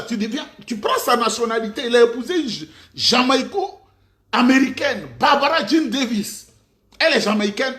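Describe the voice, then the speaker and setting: A middle-aged Ivorian man with an Ivorian French accent and a very high voice delivers a mocking political monologue. His pitch swings widely as he leans on rhetorical questions and bursts of emphasis. The sound carries an echoey room around it. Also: fairly bright tone. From Côte d'Ivoire. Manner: mocking, rhetorical, with sudden outbursts and laughs. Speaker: political commentator.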